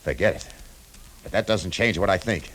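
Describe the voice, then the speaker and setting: An actor playing a tough, shrewd cafe owner turned detective in a 1950s radio drama, terse and hard-boiled. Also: male voice, deep voice, normal speed, fairly bright tone, low-quality recording. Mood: firm.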